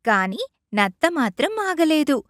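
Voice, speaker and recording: Female storyteller for kids' moral tales: expressive, unhurried, close dry sound. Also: high voice, dry recording. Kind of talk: scripted children's moral-story narration.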